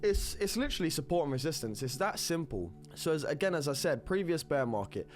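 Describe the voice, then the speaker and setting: A man's voice: a little high, very animated, fairly quick. A man with a stuffy nose from a cold, talking through crypto charts in a rambling, upbeat way and repeating words. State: congested with a cold. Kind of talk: screen-recorded technical analysis talk.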